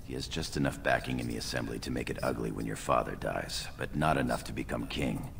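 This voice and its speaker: An actor playing a blunt, loyal dwarven guard in a fantasy video game, with a gruff, gravelly delivery. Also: male voice, extremely low pitch, fairly quick. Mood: blunt, steady. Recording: noisy game ambience behind dialogue.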